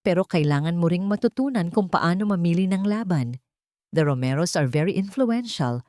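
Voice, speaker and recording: A woman's voice: fairly low pitch, expressive, moderate pace, a little mellow, no room sound, close to an everyday voice. Woman as stern senior doctor, Filipino accent, authoritative, blunt, audio storybook drama, varied intonation, dry recording. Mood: firm warning. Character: stern senior doctor, a cautioning hospital superior.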